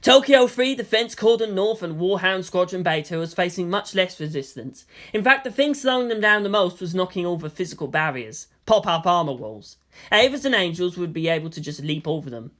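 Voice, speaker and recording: A woman's voice: fairly low pitch, expressive, fairly quick. A woman narrating fan fiction in a dramatic storytelling style.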